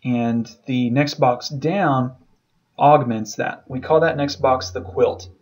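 Male instructor, medium pitch, casual and keen, explaining in an informal lecture style. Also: steady tone, slow, a little mellow. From southern United States. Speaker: beekeeping instructor. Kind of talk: informal lecture over slides.

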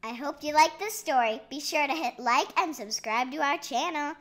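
A woman's voice: sits high, moderate pace, a bit bright. A woman hosting a children's read-aloud, narrating expressively in a sing-song, emphatic way. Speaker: story reader and channel host.

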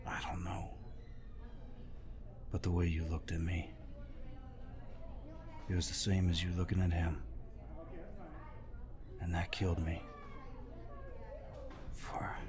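Man playing a deep-voiced, protective older veteran. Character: gruff, protective older war veteran, tender and teasing. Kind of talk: intimate audio roleplay acting.

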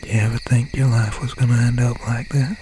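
A man playing an obsessed, menacing country slasher boyfriend in an ASMR roleplay, speaking in a breathy, halting, often whispered voice with folksy phrasing. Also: mid-range voice, steady tone, natural voice. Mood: cold, possessive. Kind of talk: ASMR roleplay, slow and intimate. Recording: noisy night ambience with crickets, owl. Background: crickets, insects and an owl.

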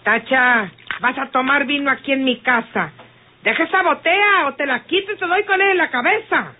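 An actress playing a bossy, sharp-tongued rural Mexican woman in an old radio soap, scolding with emphasis. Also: sits high, moderate pace, close to an everyday voice. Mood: stern. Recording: tinny band-limited old radio drama.

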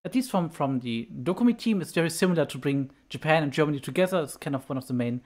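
A woman hosting a convention interview, who asks questions in a gentle, earnest and sometimes halting way. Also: low voice, animated. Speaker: convention host.

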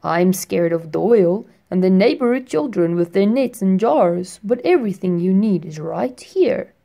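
A kind old friend of a firefly, calm and steady as he comforts a frightened boy, put on by a woman reading a bedtime story. The voice sits low and slow, with a gravelly edge. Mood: reassuring.

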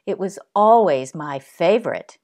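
A sensible armadillo mama from a picture book, voiced by a woman narrator. She is gentle and measured, and her voice sits slightly low. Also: expressive.

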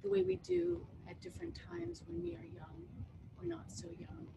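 A woman's voice: very high voice, completely flat delivery, normal speed, vocal fry. American woman poet on noisy video call. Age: middle-aged. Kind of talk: poetry reading with casual introductions. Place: book-lined wood-panelled room at home, indoors. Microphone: computer mic on video call.

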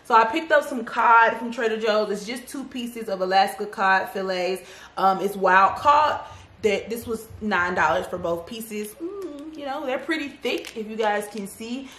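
A woman's voice: mid-range voice, a little slow, fairly bright tone. A woman in her thirties chatting casually through a grocery haul in her home kitchen, sassy and talkative, calling her viewers y'all and baby. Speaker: vlogger. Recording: echoey kitchen.

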